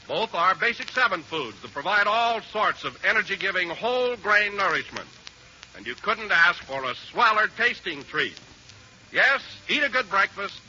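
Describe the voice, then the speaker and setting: A man's voice: sits high, bright, forceful tone, dull audio. A man reading a folksy 1940s radio commercial as an announcer. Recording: band-limited old radio with faint noise.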